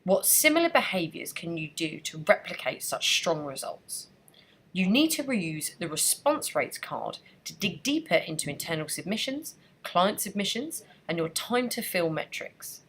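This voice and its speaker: Trainer voice: woman, low and husky, animated pitch, steady scripted tutorial read. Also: moderate pace. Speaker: software trainer. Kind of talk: scripted screencast narration.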